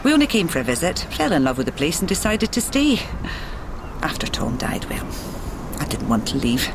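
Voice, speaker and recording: An actress in a radio drama playing a friendly, curious island widow with a Scottish accent, musing and gently teasing. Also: female voice, low voice, big pitch swings, normal speed, noisy background. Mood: wistful, friendly.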